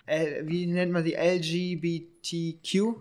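Guy with a pretty high voice hosting a laid-back podcast, kinda stops and rephrases as he explains. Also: flat delivery, unhurried, darkish tone. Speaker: podcast host. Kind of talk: casual podcast chat in German.

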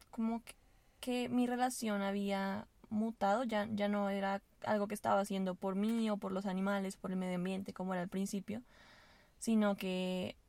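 A woman's voice: mid-range voice, creaky, darkish tone, no echo. A young woman from Venezuela, a podcast guest, chatting casually in a rambling, hesitant way with lots of fillers, recorded inside a car. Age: young adult.

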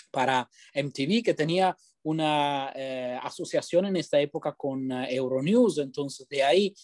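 Italian man, relaxed interview guest. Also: a little high, no echo, clean studio sound. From Italy. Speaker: guest, television journalist. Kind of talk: casual interview talk.

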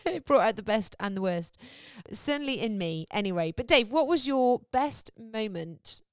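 An English woman in her thirties hosting a parenting podcast, bubbly and quick to laugh. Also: a little high, animated, dry recording, studio quality. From England. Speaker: podcast host. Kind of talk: casual podcast chat.

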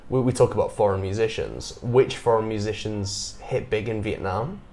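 A man's voice: lowish voice, moderate pace, darkish tone. A British man interviewing a guest in a chatty, curious way, with rambling questions. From Britain. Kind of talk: casual interview chat. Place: living abroad.

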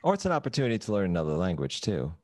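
Middle-aged male co-host, very deep voice, dry close sound, measured and thoughtful. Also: huge pitch swings, no room sound. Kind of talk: casual interview chat.

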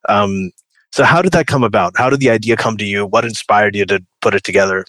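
A man interviews a guest in a casual podcast style. His voice is on the low side yet bright and forward. The sound is dry and close, with no room echo. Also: fast.

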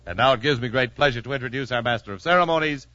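A man's voice: medium pitch, very fast, noisy background. A man announcing a 1950s radio show and reading sponsor messages in a formal, showy way. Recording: muffled vintage radio recording. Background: music.

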